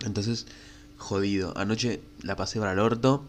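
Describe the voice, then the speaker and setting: Congested young Argentine man, lowish voice. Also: moderate pace, slight background noise. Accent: Rioplatense Spanish. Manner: rambling, crude and frank, many fillers. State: stuffy nose from a cold, coughing. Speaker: podcast host. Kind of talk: casual solo podcast monologue.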